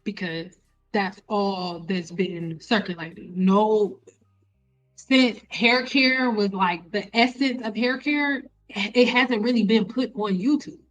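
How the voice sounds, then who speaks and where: A woman's voice: mid-range voice, takes it slowly, dull audio, faint music behind. A woman in her thirties lecturing viewers on a live stream as an educator, emphatic and repeating phrases to drive them home. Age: 30s. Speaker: host, hair care educator.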